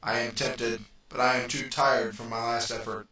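A man voicing a cold, bossy alien entity that speaks in a stern, flat, commanding way. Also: mid-range voice, moderate pace, very echoey, far from the mic, a crowd in the background, close to an everyday voice.

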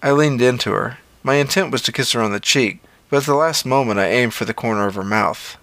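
A man's voice: mid-range voice, brisk, fairly bright tone, no echo. A man narrating a scripted first-person story as an audio fiction reader.

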